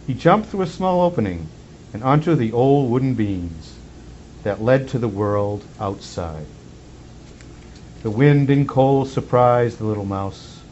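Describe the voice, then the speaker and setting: A man playing Santa Claus reads a children's storybook aloud in an expressive, measured way. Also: medium pitch. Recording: muffled lo-fi sound with faint background noise.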